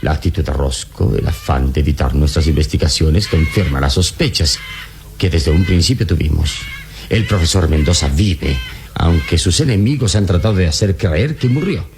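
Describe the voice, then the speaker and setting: A man acting a calm, noble adventure hero in a radio serial, speaking in a solemn, measured, theatrical way. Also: sits low, music in the background. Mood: solemn certainty. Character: calm, noble adult male adventure hero, grave and assured.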